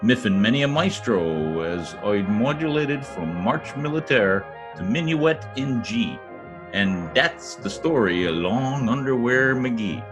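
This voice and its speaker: An actor playing a boastful, wisecracking husband in an old-time radio comedy, folksy and theatrical with a husky voice. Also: male voice, mid-range voice, expressive, slow, over music. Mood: grandly boastful. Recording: home video-call recording.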